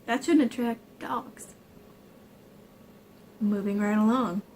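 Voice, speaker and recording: A woman's voice: on the high side, fairly even intonation, slow, a little mellow, slight background noise. A woman making silly, teasing, casual interjections during a story reading. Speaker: co-reader making side comments.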